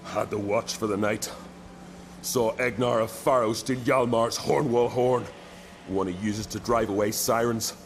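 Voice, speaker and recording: Earnest young island warrior. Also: male voice, fairly low pitch, fairly even intonation, measured pace, soft background music. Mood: rueful, annoyed. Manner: earnest, colloquial storytelling. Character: loyal, hot-headed young Norse-style island warrior. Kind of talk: dramatic video game voice acting.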